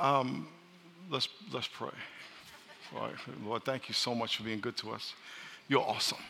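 A pastor preaching a sermon, loud and joking, calling on his congregation to answer back. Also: mid-range voice, steady tone, normal speed, vocal fry, background noise. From New York. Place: large church hall. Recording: live sermon in a reverberant hall.

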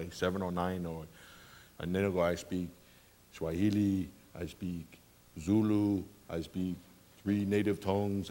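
A man preaching a sermon, telling comic travel stories, acting out voices and laughing along. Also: fairly low pitch. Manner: animated storyteller, acts out voices, laughs often. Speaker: pastor.

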